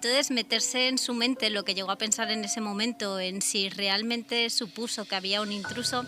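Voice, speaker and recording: A woman's voice: mid-range voice, steady tone, moderate pace, over music. A woman with a Spain Spanish accent discussing true crime conversationally as a radio co-host.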